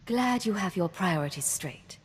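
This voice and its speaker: A woman's voice: lowish voice, animated, a little slow. A woman playing a cool, wry Imperial agent, guarded and probing in scripted game dialogue. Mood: wry, teasing. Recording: noisy game capture with long reverb.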